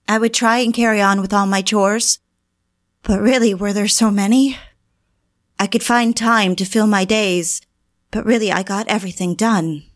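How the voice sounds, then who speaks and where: A woman's voice: medium pitch, close to an everyday voice. An actress playing an obsessive, lovelorn housewife, narrating her confessions in a brooding, intimate audio drama style. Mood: anguished, weary.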